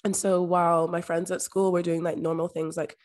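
A woman's voice: lowish voice, flat delivery, brisk, darkish tone. Reflective young Scottish woman. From Scotland. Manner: open, reflective, uses "like" often. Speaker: podcast guest, mindset coach. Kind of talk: casual interview talk.

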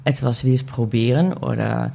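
A Dutch woman in her forties with a Dutch accent, a podcast guest speaking halting German, searching for words with many fillers. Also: extremely low pitch, fairly even intonation, creaky, mellow, slight background noise. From Netherlands. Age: 40s. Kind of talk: casual interview chat.

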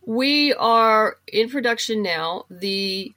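A woman's voice: on the high side, expressive, slow, bright, forceful tone. A woman from the United States talking as a guest in a casual, conversational interview. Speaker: interview guest, writer and producer.

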